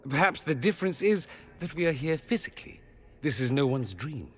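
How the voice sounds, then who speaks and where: A man's voice: fairly high pitch, sing-song, a little fast. An actor playing an urbane, unruffled male mage in a fantasy video game, scholarly and wry in his polished delivery. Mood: wry, musing.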